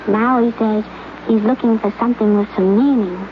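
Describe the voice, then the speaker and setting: A young actress plays a gentle, trusting young woman who speaks softly and stumbles over her words in a 1950s radio drama. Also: medium pitch, fairly even intonation, moderate pace, natural voice. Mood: tender. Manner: hesitant, soft-spoken, stumbles over words. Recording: tinny, hissy old radio broadcast.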